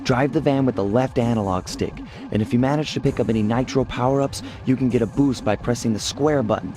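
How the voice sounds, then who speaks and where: A man voicing a cocky, laid-back male raccoon master thief, smooth and dryly confident. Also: medium pitch, brisk, natural voice. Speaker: narrator and hero. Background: loud game music.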